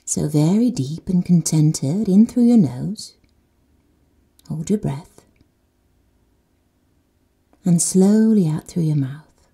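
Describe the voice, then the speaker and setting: Breathy, mellow woman guiding sleep. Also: fairly low pitch, expressive. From Netherlands. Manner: slow and soothing, dropping to soft whispers. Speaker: meditation guide. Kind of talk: guided sleep meditation.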